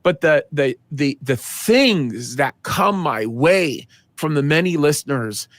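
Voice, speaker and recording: Middle-aged man, pastor guest, slightly high voice, dry close sound, earnest, emphatic restarts. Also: animated, takes it slowly, a bit bright, no room sound. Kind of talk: conversational interview answers.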